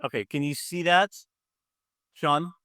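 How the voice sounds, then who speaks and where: A man's voice: on the high side, fairly even intonation, a little slow, sharp tone, dry recording, clean studio sound. A man casually hosting a quiz game on a video call, encouraging and often repeating himself. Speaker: quiz show host.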